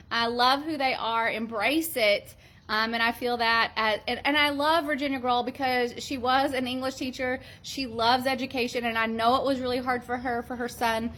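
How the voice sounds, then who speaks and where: A woman's voice: a little high, sharp tone. A middle-aged woman who works as a teacher and librarian, chatting earnestly about a book with many ums. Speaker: teacher and school librarian reviewing books. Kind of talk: casual book review vlog.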